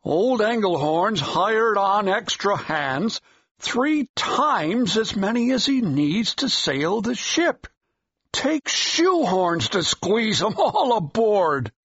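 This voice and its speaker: A man playing a gruff, gossipy old American watchman on the docks, husky and folksy, in an audiobook drama. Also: high voice, big pitch swings, fairly bright tone, no echo, full character voice. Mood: wary, gossipy. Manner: slow, folksy, ungrammatical dockworker talk. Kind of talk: full-cast audiobook acting. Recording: dull, muffled audio.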